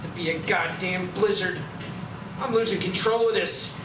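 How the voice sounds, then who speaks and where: Man playing a high-voiced embattled sheriff, echoey. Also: normal speed, background noise, close to an everyday voice. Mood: strained. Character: gruff determined sheriff at his wits' end. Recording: echoey, distant mic, music behind.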